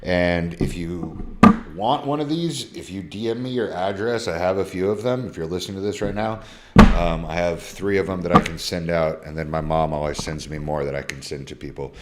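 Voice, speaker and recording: Thirty-something guy with a real low voice, casual slangy live stream off his phone, cusses a lot. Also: normal speed, heavy background noise. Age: 30s. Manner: loose, slangy, swears freely. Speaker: social media creator. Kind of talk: casual live stream, reading DMs aloud.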